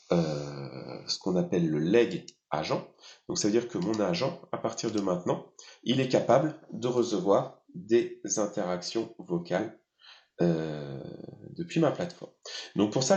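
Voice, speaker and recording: Male software presenter, fairly deep, unscripted and halting explanations, roomy and somewhat muffled recording. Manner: hesitant, halting explanatory delivery. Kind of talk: live software demonstration, unscripted. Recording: echoey room, slightly dull sound.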